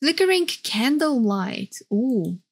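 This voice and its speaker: A young woman streamer giving casual, excitable, gushing live reaction commentary. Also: a little high, takes it slowly. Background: game trailer music.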